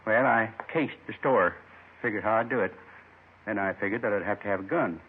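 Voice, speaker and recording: A muffled 1950s radio drama recording carries a lonely old man in his seventies. His voice is husky, his manner gentle and folksy, and he rambles wistfully in short, plain phrases as he confesses. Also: lowish voice, brisk. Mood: matter-of-fact. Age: 70s.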